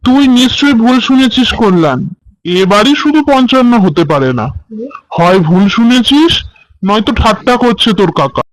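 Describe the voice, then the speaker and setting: A man's voice: very high-pitched, expressive, moderate pace, dry recording, low-quality recording. A man playing an ordinary office clerk in colloquial, questioning dialogue for a cartoon story. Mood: doubtful. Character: two male office clerk friends house-hunting, conversing.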